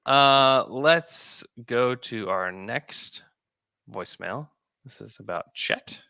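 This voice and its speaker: Creaky, bright-voiced male sports podcast host. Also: mid-range voice, expressive, slow, dry recording, studio quality. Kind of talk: casual basketball discussion.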